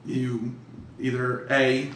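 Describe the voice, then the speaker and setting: A man's voice: medium pitch, monotone, drawn-out words, fairly bright tone. A man narrating as game master of a tabletop horror game, casual, improvised and freely swearing. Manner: profane, colloquial. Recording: roomy, distant mic, faint noise.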